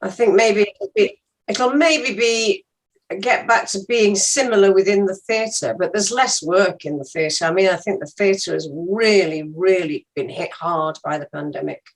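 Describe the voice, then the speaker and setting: A woman's voice: medium pitch, unhurried, low-quality recording. An older woman, an interview guest, talking frankly and emphatically in casual conversation.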